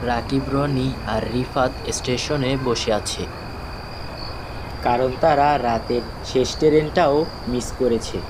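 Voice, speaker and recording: A man's voice: medium pitch, fairly even intonation, takes it slowly, background noise. A man narrating a scripted horror story.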